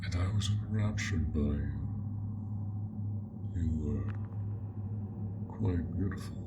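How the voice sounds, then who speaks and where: A proud, courteous dragon played by a man speaks very low and unhurried, in formal, courtly phrases. He is an awkwardly smitten host in an audio roleplay, talking straight to the listener over clear background noise. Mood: intrigued, admiring.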